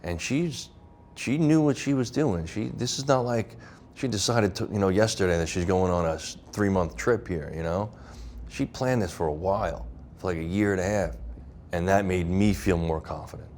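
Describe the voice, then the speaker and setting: A man's voice: deep voice, moderate pace, a little mellow, slight background noise. A man as an interview guest, speaking candidly in a plain, firm manner. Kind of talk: candid personal reflection.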